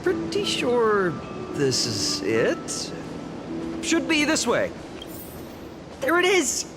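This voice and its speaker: An actor playing an earnest, boyish young hero in a video game, eager and expressive. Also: male voice, very high voice, extremely slow, exaggerated voice. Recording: background music under the voice.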